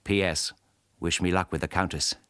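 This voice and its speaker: An actor playing a courteous, easily flustered English gentleman in his forties in a radio drama, with an English accent. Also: sits low, fairly even intonation, normal speed, clean tone, no echo, studio quality. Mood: genial, musing. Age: 40s. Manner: courteous, earnest, hesitant. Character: polite, easily flustered English gentleman traveller of forty-two.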